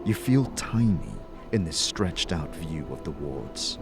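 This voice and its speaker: A man giving measured, dramatic scripted narration as the storyteller of a fantasy audio drama. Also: very deep voice, lively intonation. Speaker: narrator and game master. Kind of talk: scripted second-person fantasy narration and character dialogue. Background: music.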